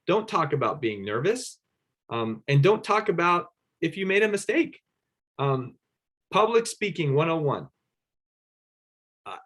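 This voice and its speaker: A man's voice: mid-range voice, fairly bright tone. Chatty man presenting a networking workshop. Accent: Pittsburgh-area American. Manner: conversational, checks in with "right?", frequent fillers. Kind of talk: casual instructional talk.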